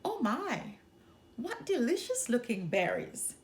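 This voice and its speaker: A woman's voice: fairly high pitch, huge pitch swings, takes it slowly, slight background noise, full character voice. A woman voicing a sweet, cheerful, easily distracted little girl in a storybook reading. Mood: delighted.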